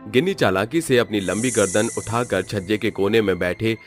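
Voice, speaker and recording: A man narrating a cartoon story in a steady storytelling manner. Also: lowish voice, normal speed, soft background music.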